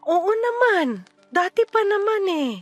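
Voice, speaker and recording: A woman playing a worried, caring young friend in a Filipino radio drama, earnest and gently insistent, with a Filipino accent. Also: extremely high pitch, very animated, a little slow, full character voice. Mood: earnest, reassuring. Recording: music behind the voice.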